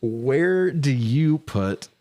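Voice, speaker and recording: A man's voice: mid-range voice, lively intonation, extremely slow, mellow. A man co-hosting a film review show in casual banter, talking informally with some mild swearing. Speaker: podcast co-host reviewing films.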